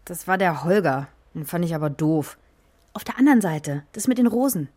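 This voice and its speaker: A woman playing a romantic, nostalgic mother in a children's radio play, with a husky voice and a colloquial, exclaiming delivery. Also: fairly low pitch, lively intonation, normal speed, a little mellow. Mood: casual, teasing.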